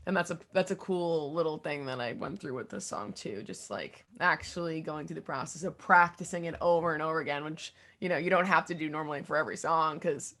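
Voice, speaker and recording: A young woman with a fairly low voice speaks as an interviewed singer-songwriter. She is candid and informal, working out her answers in fragments and often acting out her own thoughts as quotes. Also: brisk, hardly any pauses, a bit bright. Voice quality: low, deep voice. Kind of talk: casual interview chat.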